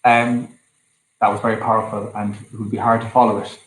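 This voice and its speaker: A man's voice: fairly low pitch, flat delivery, brisk. A man with an Irish accent giving an informal, polite and hesitant address as a guest speaker. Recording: echoey room, live video stream.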